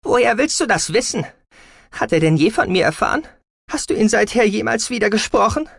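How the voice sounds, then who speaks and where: A man's voice: sits high, sing-song, moderate pace, creaky, breathy edge, no echo, studio quality, pitched above the performer's own voice, full character voice. A man voicing a hot-tempered, defiant adolescent girl in an audiobook, indignant and emphatic. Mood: furious, defiant. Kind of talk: dramatic audiobook character acting.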